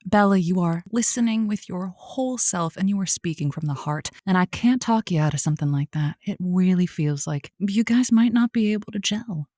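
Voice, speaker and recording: A woman's voice: fairly low pitch, moderate pace, hardly any pauses, mellow, no echo, studio quality, close to an everyday voice. A woman playing a quirky, soothing radio advice host in a comedic audio drama, earnest and playful in her delivery. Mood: sympathetic.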